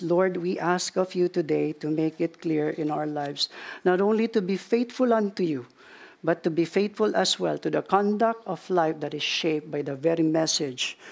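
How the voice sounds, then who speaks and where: Gravelly pastor closing a sermon. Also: male voice, sits high. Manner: earnest, repeats phrases for emphasis. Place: church.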